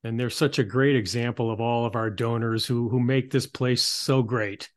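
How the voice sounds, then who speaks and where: A man's voice: medium pitch, fairly even intonation, moderate pace. A man hosting an interview show, giving polished, supportive segues and prompts with a promotional touch.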